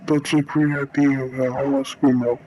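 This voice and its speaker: A woman's voice: rumbling low, flat delivery, normal speed, highs cut off, noisy background. A woman voicing a bossy, urgent cartoon character in an amateur animation, commanding and exclamatory. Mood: eager.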